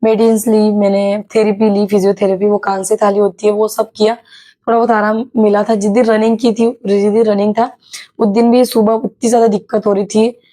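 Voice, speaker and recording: A young woman from Maharashtra with a slightly sore, hoarse throat, telling a casual vlog story with many hesitations. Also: medium pitch, level tone, fairly quick, mellow. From Maharashtra, India. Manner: chatty, many hesitations and English words mixed in. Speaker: YouTuber sharing her exam experience.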